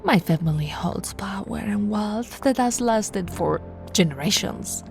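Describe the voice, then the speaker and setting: A woman playing a haughty, possessive immortal vampire aristocrat in an ASMR roleplay, imperious and condescending, theatrical, often dropping into a breathy whisper. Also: mid-range voice, animated, unhurried, a little mellow, soft background music. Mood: imperious, proud.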